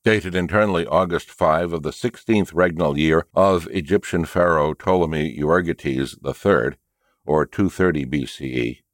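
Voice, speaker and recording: A man's voice: low-pitched, dry recording, clean studio sound. A man reading a nonfiction book aloud as a narrator, in a scripted style. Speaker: audiobook and podcast narrator.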